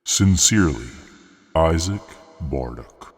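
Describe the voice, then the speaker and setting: A cavernous reverb surrounds a stern council steward , acted by a man. His voice is extremely deep and rough-edged. He reads an official letter formally, as in a fantasy audio drama. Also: animated, slow, exaggerated voice. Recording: long cavernous hall reverb.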